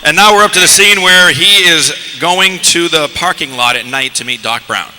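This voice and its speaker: A man's voice: on the high side, normal speed, pushed and bright. A man moderating a live panel, announcing and explaining things in a casual way at a convention. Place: large convention panel stage. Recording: noisy live convention stage microphone.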